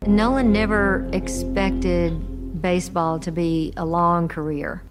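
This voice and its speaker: A woman's voice: lowish voice, steady tone, slow pace, over music. A woman recalling someone's career in an interview style. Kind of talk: interview recollection. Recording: clearly noisy clip.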